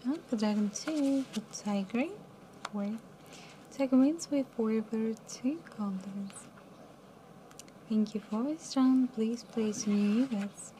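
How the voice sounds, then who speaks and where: Young woman casino dealer, soft mumbly voice, slow polite calls, faint room hum. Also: a little high. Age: young adult. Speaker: live casino card dealer. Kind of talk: announcing card game rounds. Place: casino studio table set.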